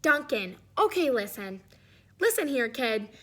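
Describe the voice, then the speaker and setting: Lady reading as a fed up bossy crayon, kids book, scolding, highish voice. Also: big pitch swings, a little slow, bright, forceful tone, full character voice. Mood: bossy, aggrieved. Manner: blunt, scolding. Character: bossy, slighted Pink Crayon demanding to be used.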